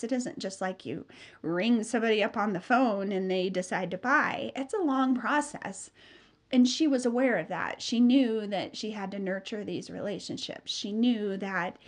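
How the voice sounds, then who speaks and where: Chatty woman podcast host with a cold. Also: mid-range voice. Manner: chatty, upbeat, many fillers. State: has a cold, a bit nasal. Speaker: podcast host and business mentor. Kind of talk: solo podcast talk.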